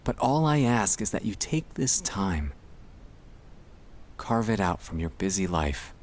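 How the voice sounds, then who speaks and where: A man with a fairly low voice leads a gentle ASMR relaxation. He speaks very slowly in short, soothing phrases with pauses between them. His pitch rises and falls expressively as he reassures the listener. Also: very animated, a little hiss or hum. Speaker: ASMR sleep-aid performer.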